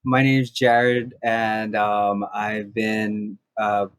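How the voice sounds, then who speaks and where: A young man from Maui, a house church pastor, sharing his story in a soft-spoken, halting way with many restarts. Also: on the low side, level tone, clear, resonant tone, dry recording. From Maui, Hawaii. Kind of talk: casual podcast conversation.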